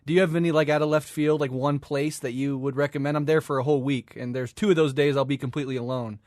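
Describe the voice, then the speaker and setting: An eager American man hosts a podcast, talking in a slightly higher voice than most men. He is chatty and quick to laugh. The sound is dry and close-miked. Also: steady tone, darkish tone, no room sound. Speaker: podcast host. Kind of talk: casual interview chat.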